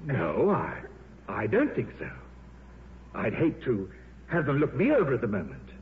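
An actor playing a candid, concerned colleague delivering bad news in a 1950s radio drama. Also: male voice, medium pitch, wildly swooping pitch, moderate pace. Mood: grave, concerned. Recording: narrow hissy 1950s radio recording with hum.

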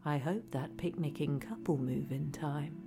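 A woman reading a scripted guided relaxation in a soothing, hushed, deadpan way. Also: deep voice, measured pace. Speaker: narrator, reader. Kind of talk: scripted guided relaxation narration, parody meditation. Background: music.

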